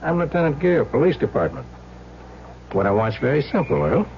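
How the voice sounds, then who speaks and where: Firm police lieutenant, muffled. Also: male voice, mid-range voice, sing-song, brisk, gravelly. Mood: commanding. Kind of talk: old-time radio crime drama acting. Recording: muffled, hissy old radio recording.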